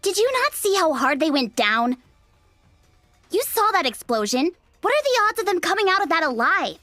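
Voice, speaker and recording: A woman playing a brash, cheeky young female android soldier in a video game, sceptical but easygoing, with a sassy, expressive delivery. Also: very high voice, normal speed, no room sound, soft background music, heavily acted. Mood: exasperated. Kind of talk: English video game voice acting.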